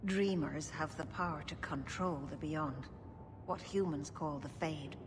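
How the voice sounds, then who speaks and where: Elven keeper for a fantasy game: low, measured, solemn teacher. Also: female voice. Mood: grave, instructive. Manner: formal, measured, grave. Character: grave, wise female elven keeper, a mystic teacher. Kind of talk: video game voice acting. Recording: noisy game ambience behind.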